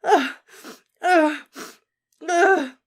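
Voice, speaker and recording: A woman voicing a distraught middle-aged motherly witch who sobs and stammers in a high, breaking voice. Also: drawn-out words, heavily acted. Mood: sobbing. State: crying.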